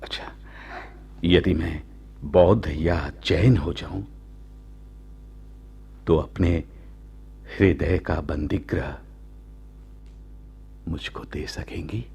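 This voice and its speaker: A man playing a proud, lovestruck royal commander of ancient India in a radio drama, speaking in measured, halting, formal courtly phrases. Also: deep voice, warm tone, full character voice. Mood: gentle, persuading. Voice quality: gravelly, husky. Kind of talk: slow, theatrical Hindi radio drama acting.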